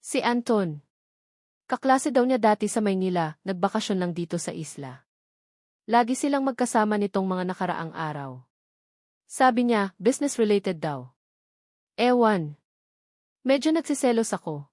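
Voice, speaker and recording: A woman's voice: mid-range voice, lively intonation, moderate pace, pauses often, a little mellow, no echo, close to an everyday voice. Woman reading as a tender lovestruck young diarist, dry sound. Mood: jealous, uneasy. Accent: Filipino.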